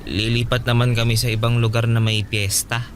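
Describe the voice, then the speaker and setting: An actor playing an earnest young man who works at a fairground, speaking with halting hesitation in a radio drama. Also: medium pitch, little pitch movement, a little hiss or hum, close to an everyday voice. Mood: hesitant.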